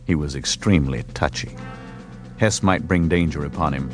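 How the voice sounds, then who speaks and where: Very deep-voiced male western narrator. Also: normal speed. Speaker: audiobook narrator. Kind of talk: scripted dramatic western narration. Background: music and horse hoofbeats.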